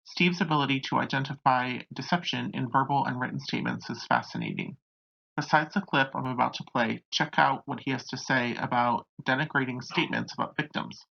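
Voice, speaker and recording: A narrator with a raspy voice hosting a true crime video, reading from a script on a dull home recording. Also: mid-range voice, sing-song, fairly quick.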